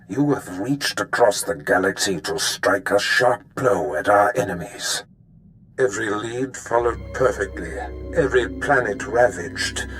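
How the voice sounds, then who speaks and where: An actor playing a calculating, imperious Sith master in a video game, speaking in a measured, formal way. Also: male voice, mid-range voice, fairly bright tone, lightly acted, low-quality recording. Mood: pleased, menacing. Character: menacing Sith master, calculating, imperious older male villain. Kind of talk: cinematic game voice acting.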